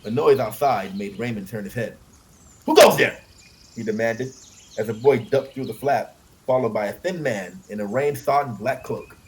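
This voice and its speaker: A man's voice: mid-range voice, moderate pace, darkish tone, poor audio. A man narrating fiction as an audiobook reader, expressively telling the story.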